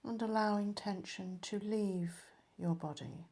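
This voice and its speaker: Woman, meditation teacher, very unhurried delivery, calm, mid-pitched, soft phrase endings. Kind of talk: guided meditation narration.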